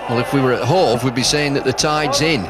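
A man's voice: mid-range voice, brisk. A man commentating live on television cricket in terse, understated remarks. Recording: noisy archive broadcast, loud crowd. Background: loud stadium crowd noise.

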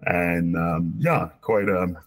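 A man's voice: low-pitched, slow. A man in his forties from Cameroon, a guest on a video call interview, speaking with many hesitations and restarts. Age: 40s. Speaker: guest, operational research professor. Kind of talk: casual interview chat. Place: small office indoors. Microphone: video call webcam.

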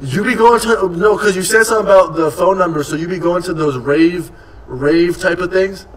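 A man's voice: high-pitched, moderate pace, recorded from a distance, poor audio. A young man hosting a live stream, chatting casually with slang, swearing and playful teasing from a desk in an indoor room. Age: young adult. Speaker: streamer host.

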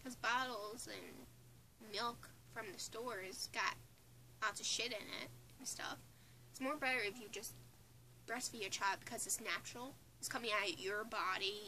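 A woman's voice: a little high, bright, forceful tone, slight background noise, rough recording. An eighteen-year-old woman with a Newfoundland accent, chatting casually into a camera in her bedroom, rambling with restarts and giggles. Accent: Newfoundland English. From Newfoundland, Canada. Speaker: vlogger. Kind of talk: casual opinion vlog. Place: small bedroom under a bunk bed, indoors. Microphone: phone or webcam close in front.

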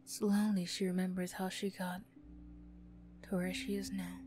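A woman softly narrating an ASMR roleplay. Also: fairly low pitch, little pitch movement, a little slow. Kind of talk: soft ASMR story narration. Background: music, guitar.

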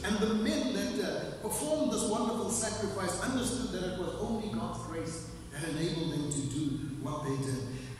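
An older man preaching a sermon from a pulpit, with emphatic pauses that stretch out key phrases. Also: high voice. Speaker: pastor. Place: small church hall with stage and pulpit. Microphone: room mic at a distance. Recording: echoey hall, distant mic, faint hiss.

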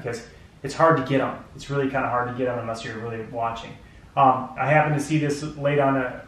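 A man's voice: mid-range voice, slight background noise. A man in his thirties giving a casual home gym product review, rambling with many fillers and restarts, recorded indoors on a camera mic a few feet away. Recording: very echoey room.

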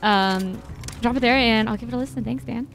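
A woman's voice: on the high side, a little fast, vocal fry, soft background music. A young woman from the Netherlands, co-hosting a casual gaming stream, chatting and laughing often. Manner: chatty, laughs often, reads out viewer comments. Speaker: livestream co-host.

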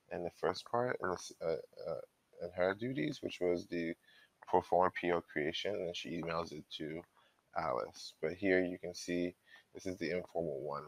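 A man giving an informal step-by-step software walkthrough as a trainer, explaining and thinking aloud as he goes. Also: low-pitched, gravelly, rough recording.

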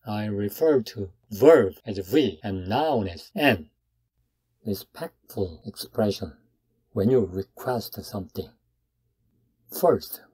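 A man's voice: fairly low pitch, mellow, husky. A man teaching grammar in a scripted lesson, explaining step by step in short phrases. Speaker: Japanese language teacher.

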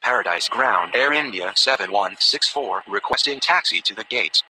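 A man's voice: fairly low pitch, animated, normal speed, bright, forceful tone, rough recording. A man giving formal, clipped air traffic radio callouts in a scripted style. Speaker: air traffic radio voices in a simulation game.

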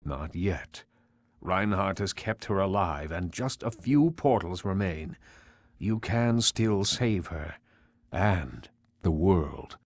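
A man playing a grave mentor in a video game, speaking in a measured, portentous way. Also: low-pitched, expressive, no room sound, clean studio sound, full character voice. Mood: solemn urging. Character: grave, solemn male mentor urging the hero on. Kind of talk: video game cutscene acting.

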